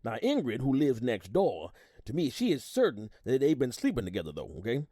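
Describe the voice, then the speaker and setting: A man's voice: medium pitch, huge pitch swings, brisk, warm tone, dry recording, clean studio sound. A man playing an older retired army sergeant on the witness stand in an audio drama, folksy and gossipy, rambling and repeating himself. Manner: folksy, rambling, repeats words, hums agreement. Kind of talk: audio drama acting, courtroom testimony.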